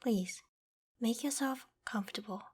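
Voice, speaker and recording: A woman's voice: fairly high pitch, measured pace, husky. A woman voicing a proud, vain ancient dragon in human form for an ASMR roleplay drama, with formal, haughty, theatrical phrasing. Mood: gentle, reassuring.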